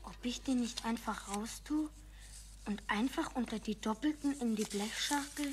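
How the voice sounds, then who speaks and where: Woman playing a high-voiced Bavarian boy. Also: moderate pace, a little hiss or hum, natural voice. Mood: furtive. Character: eager young boy, keen stamp collector. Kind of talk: children's radio play acting.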